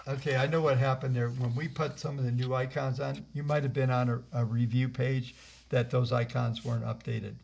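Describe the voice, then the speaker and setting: A man hosting and leading a live software training webinar over a video call, rambling with many fillers and restarts. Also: mid-range voice, level tone, a little fast, a little hiss or hum. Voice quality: gravelly. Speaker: host and trainer. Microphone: computer mic on a video call.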